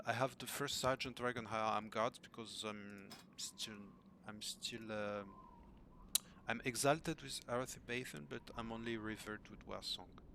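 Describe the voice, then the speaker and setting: Man, middle-aged, hoarse, slow halting speech, faint hiss behind. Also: on the low side. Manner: hesitant, many fillers and restarts. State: hoarse, losing his voice. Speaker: gamer asking for coaching. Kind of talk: casual recorded message to a coach. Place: home room.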